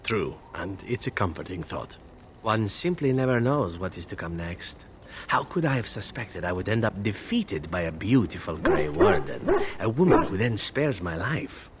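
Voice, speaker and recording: An actor playing a smooth-talking, flirtatious assassin with flowery, courtly phrasing in a video game. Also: male voice, sits low, sing-song, moderate pace, a little hiss or hum. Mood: flirtatious, charming. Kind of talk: video game dialogue acting.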